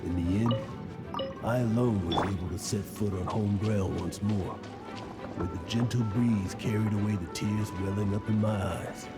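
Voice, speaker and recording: A man's voice: fairly low pitch, steady tone, moderate pace, natural voice. An actor playing a boastful male adventurer who calls himself a legend and tells stories with a pompous, theatrical manner, in a video game. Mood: dramatic. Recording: game audio with loud music.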